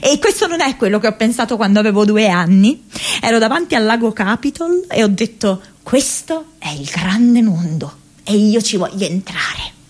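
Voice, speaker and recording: Actress as an impassioned, halting young woman activist. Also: medium pitch, expressive, close to an everyday voice. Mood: frightened, weary. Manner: impassioned, halting, repeats and breaks off words. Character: earnest, distressed young American woman activist writing to her mother. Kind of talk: dramatic radio monologue from diaries and letters.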